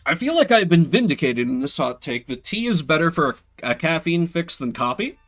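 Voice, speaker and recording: A man's voice: on the high side, very animated, brisk, fairly bright tone, soft background music. A man giving casual live game commentary as a streamer, rambling with playful, self-deprecating asides.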